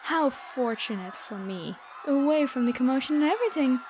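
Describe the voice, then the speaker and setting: Haughty, high-voiced predatory vampire girl, acted by a woman. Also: expressive, normal speed, background noise. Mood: gloating. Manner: taunting, theatrical, playful. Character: haughty, predatory noble vampire girl hunting human prey. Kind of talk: ASMR roleplay acting.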